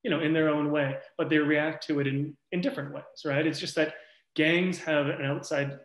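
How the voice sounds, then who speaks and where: A man's voice: fairly high pitch, flat delivery, normal speed. A man speaking as a researcher in an academic panel discussion, thoughtful and loose, searching for his words as he goes. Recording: echoey room.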